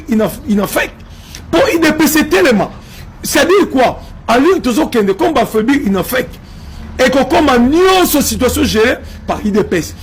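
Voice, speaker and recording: A man's voice: extremely high pitch, husky. A man from Congo with a Congolese French accent giving impassioned political commentary, emphatic and repetitive, slipping in Lingala phrases.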